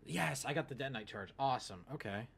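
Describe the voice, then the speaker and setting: A man's voice: mid-range voice, animated, fast talker, creaky, fairly bright tone, husky. A man doing casual let's play commentary, offhand and playful, often talking to himself. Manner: offhand, muttering to himself, playful sound effects. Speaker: gaming video host. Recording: game battle noise behind voice.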